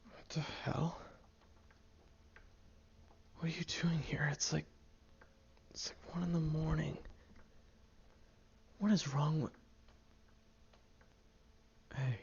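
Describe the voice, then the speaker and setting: A man voicing a grumpy tsundere teenage boy who is gruff but caring, grumbling, sighing and muttering half-whispered asides in an audio roleplay. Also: a little high, steady tone, slow pace, long pauses, darkish tone, muffled recording, full character voice.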